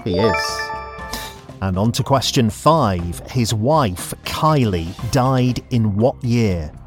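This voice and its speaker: A man hosting a quiz, putting questions to a contestant in an upbeat, lively way. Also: on the low side, slow, music in the background. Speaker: quiz host.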